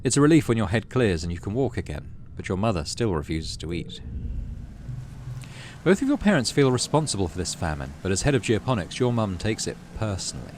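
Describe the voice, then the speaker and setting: Male game-channel host, low voice, animated story reading with offhand commentary, noticeable background noise. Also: fairly quick. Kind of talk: dramatic read-aloud narration of a game story with casual asides. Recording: clearly audible background noise.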